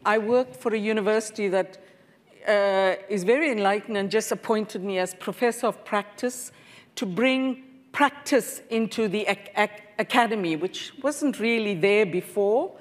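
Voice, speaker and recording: A woman in her sixties, speaking with earnest conviction as a professor on a conference panel, with a lapel mic. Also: mid-range voice. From South Africa. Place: large conference hall stage. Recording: reverberant hall.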